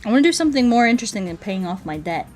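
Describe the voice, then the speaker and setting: A woman's voice: medium pitch, sing-song, rapid-fire, a little mellow, faint music behind. A woman livestreamer in casual, playful, rambling chat, answering her viewers aloud as she plays a game.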